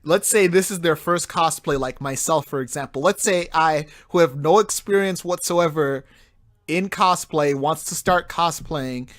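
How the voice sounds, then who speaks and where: A man's voice: a little high, unhurried. Easygoing male podcast host. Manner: easygoing, brief replies, chuckles. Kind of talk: casual podcast interview.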